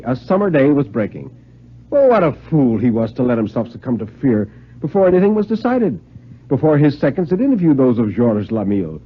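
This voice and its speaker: Theatrical male radio storyteller with swooping pitch. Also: breathy edge. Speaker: narrator and dramatic reader. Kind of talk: 1950s radio dramatic reading of a thriller story. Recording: muffled, band-limited 1950s radio recording.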